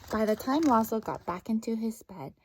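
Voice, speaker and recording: A woman reading a story aloud to young children as a teacher, often dropping into hushed, breathy asides. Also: on the high side, expressive, normal speed, a little hiss or hum. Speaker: first grade teacher reading a picture book aloud.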